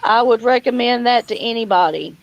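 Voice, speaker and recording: A woman's voice: fairly high pitch, brisk, dull audio. A woman hosting a casual livestream chat outdoors on her phone, reading viewer comments and talking in a folksy way, calling people honey. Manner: folksy, calls listeners honey. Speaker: livestream host.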